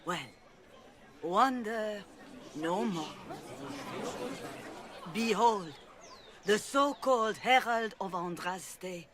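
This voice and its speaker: An actress playing a self-righteous religious mother who orates slowly to a crowd in a game cutscene. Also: medium pitch. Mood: grave. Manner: slow, declamatory public oratory.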